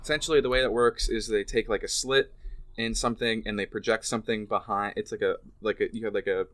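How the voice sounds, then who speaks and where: A man with a fairly low voice talks through film details on a casual podcast. He explains thoughtfully, pausing and restarting as he searches for words. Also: steady tone, fairly bright tone. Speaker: podcast co-host.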